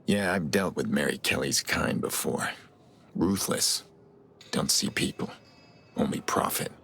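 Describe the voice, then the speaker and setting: An actor playing a grim, stoic middle-aged vigilante hacker in a video game, terse and brooding, with a low gravelly rasp. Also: male voice, measured pace, fairly bright tone, soft background music, exaggerated voice. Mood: guarded, grim.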